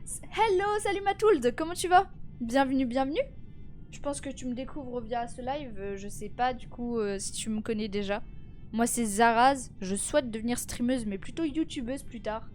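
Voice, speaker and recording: Tired, high-voiced teenage girl streaming. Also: moderate pace, a little mellow, faint music behind. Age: teens. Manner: chatty, greets viewers, many fillers and restarts. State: tired and stuffy from a cold. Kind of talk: casual live-stream chat.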